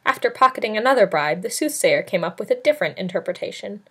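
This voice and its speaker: A woman's voice: medium pitch, expressive, fast, a bit bright, slightly rough. A woman narrating a novel as an amateur read-aloud, in a scripted storybook style. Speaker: amateur audiobook reader.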